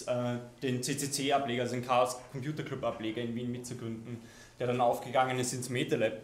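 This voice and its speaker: A man, giving a loose, conversational keynote talk with many ums and restarts in a lecture hall. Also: mid-range voice. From Austria. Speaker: keynote speaker. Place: lecture hall with projection screen. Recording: echoey lecture hall, faint noise.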